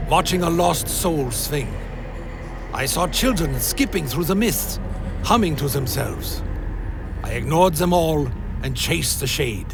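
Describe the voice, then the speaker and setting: An actor playing a brooding undead vampire warrior, narrating his own journey in a solemn, measured way for a dark fantasy radio play. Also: a little high. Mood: grave. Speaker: narrator and protagonist. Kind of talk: scripted first-person dramatic narration. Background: music.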